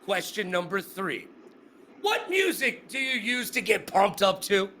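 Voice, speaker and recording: Upbeat male host, high and bright, chatty. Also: sing-song, unhurried, slight background noise. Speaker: interview host. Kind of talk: casual interview. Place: Las Vegas.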